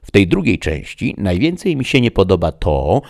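A man voicing a courteous, eager gentleman bookworm in a storybook, polite and emphatic. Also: low voice, very animated, normal speed, creaky, pitched above the performer's own voice, heavily acted. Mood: reasoning.

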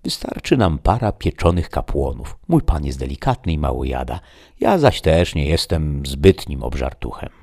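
A man voicing a blunt, hearty, impatient peasant squire in a storybook, loud and emphatic. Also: very deep voice, sing-song, normal speed, creaky, heavily acted. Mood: confident.

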